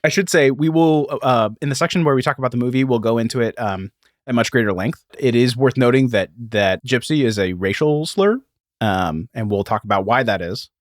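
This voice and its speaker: A man's voice: mid-range voice, a little fast, no room sound, clean studio sound. A man hosting a history podcast, explaining in a conversational way and breaking in with asides. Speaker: podcast host.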